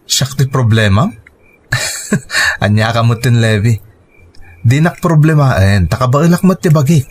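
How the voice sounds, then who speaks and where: A man's voice: medium pitch, measured pace, long pauses, close to an everyday voice. A man with an Ilocano accent acting a questioning, reluctant young boyfriend in a radio drama, over background noise. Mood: defensive, doubtful.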